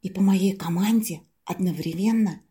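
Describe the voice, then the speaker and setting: A woman acting a determined young woman in an amateur audio play, giving hushed orders with a creaky, husky voice. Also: mid-range voice, moderate pace, pitched below the performer's own voice.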